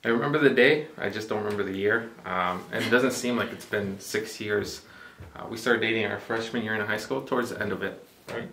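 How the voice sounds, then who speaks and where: Young man, bright tone, roomy distant sound. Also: lowish voice, fairly quick. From Mexico. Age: 20s. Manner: relaxed, filler-heavy, joking. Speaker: vlogger co-host. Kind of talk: casual chat.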